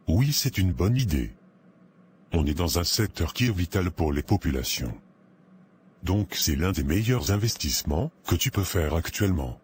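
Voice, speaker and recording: A man's voice: deep voice, very animated, normal speed, darkish tone. A man playing a supportive, practical business friend in a cartoon drama, agreeable, with faint noise. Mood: interested. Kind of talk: animated moral drama dialogue.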